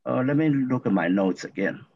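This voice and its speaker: A man from Taiwan with a Taiwanese accent, a guest talking in a halting, rambling way with lots of fillers and restarts. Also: mid-range voice, animated, vocal fry, husky. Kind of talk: casual interview talk. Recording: dull lo-fi audio.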